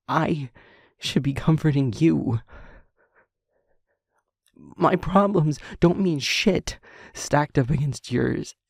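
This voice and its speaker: A man acting a guilt-ridden, tender-hearted young man in an intimate audio drama, with a breathy, husky voice and a halting, stammering, earnest delivery. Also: on the high side, a little mellow, no room sound, studio quality, full character voice. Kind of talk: intimate audio roleplay drama, emotional monologue.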